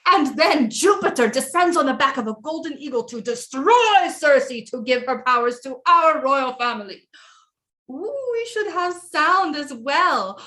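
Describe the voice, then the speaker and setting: A woman acting an imperious widowed queen mother in an audio play, in a fairly high voice. She is grand, precise and commanding, a schemer at the French court. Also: very animated, unhurried, sharp tone, heavily acted. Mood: scheming, eager. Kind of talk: stage-style audio drama acting.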